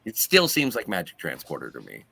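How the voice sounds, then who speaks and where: A man's voice: low voice, huge pitch swings, a little fast, vocal fry, bright, forceful tone, breathy edge. A man on a review panel, chatting casually with a skeptical, wry manner. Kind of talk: casual panel banter and discussion.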